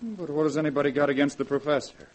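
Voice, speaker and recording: An actor playing an earnest young former lab assistant in a 1940s radio drama, speaking crisply. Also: male voice, a little high, fast, natural voice. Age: young adult.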